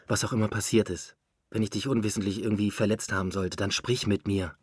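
An actor playing an anxious lover who implores urgently, with a husky voice, in a radio drama. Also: male voice, lowish voice, steady tone, a little fast, no echo, studio quality. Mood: pleading. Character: pleading, anxious male lover.

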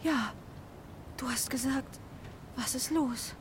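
A woman's voice: sits high, takes it slowly, background noise. A woman playing an earnest, anxious carrier pigeon, proud of its breed, in a children's radio play. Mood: frightened, urgent. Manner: earnest, emotional, swings from breathy hush to cries.

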